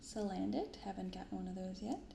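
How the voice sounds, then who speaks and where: A woman speaking softly in an ASMR style, giving gentle, unhurried casual commentary as host of a card-opening video. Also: mid-range voice, expressive, vocal fry, echoey room, background noise. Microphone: binaural microphone.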